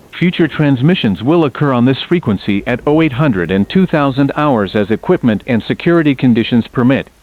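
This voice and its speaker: Solemn announcer over tinny radio. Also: male voice, mid-range voice. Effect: radio filter. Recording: thin, narrow-band emergency radio sound.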